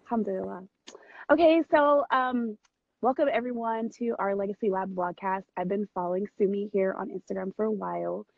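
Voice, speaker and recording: A woman hosting a casual interview over a video call, with a slightly husky voice. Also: medium pitch, brisk, no room sound. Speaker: vlogcast host and interviewer. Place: private room on a moving train. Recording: muffled, dry video call audio.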